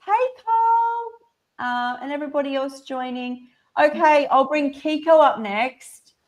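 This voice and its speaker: A woman from Australia hosting a live video call, bubbly and excitable, laughing often. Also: high voice, measured pace. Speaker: host. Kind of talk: casual live-stream chat.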